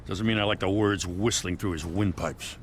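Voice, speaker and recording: An actor playing a weary, principled police lieutenant who is reluctant but dutiful, in a dramatic video game. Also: male voice, sits low, fairly even intonation, moderate pace, a little hiss or hum, lightly acted. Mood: confiding, grave.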